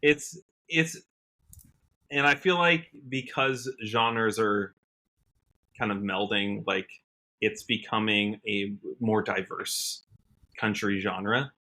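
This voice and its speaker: An American man chatting casually as an interview guest, earnest and halting, often rephrasing as he goes. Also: fairly low pitch, bright, forceful tone. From Washington state, USA. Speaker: podcast guest, country singer.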